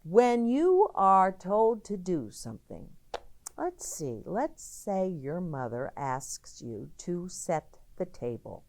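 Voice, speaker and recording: A woman's voice: lowish voice, sing-song, slow pace, a little mellow. A woman hosting a children's story time, reading aloud expressively and explaining things directly to young listeners.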